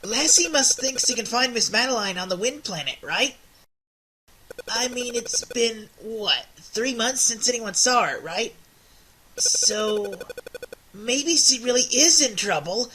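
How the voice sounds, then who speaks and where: A woman's voice: fairly high pitch, lively intonation, a little slow, pushed and bright, slightly rough, heavily acted, rough recording. A woman voicing a chirpy, eager young student mage in a game fan dub. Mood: chatty, earnest.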